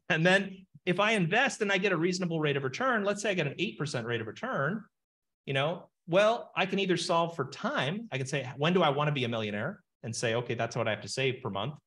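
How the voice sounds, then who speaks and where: A man in his forties, a financial planner, giving an animated webinar lesson on calculator math over a video call. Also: fairly high pitch, a little fast. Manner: animated, explains with numbers and asides. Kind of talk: webinar teaching session. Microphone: webcam video call.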